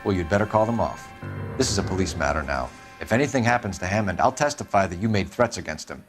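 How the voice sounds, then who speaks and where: Stern American police detective, music behind. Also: male voice, low-pitched, animated, fast talker. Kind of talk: 1940s noir video game acting.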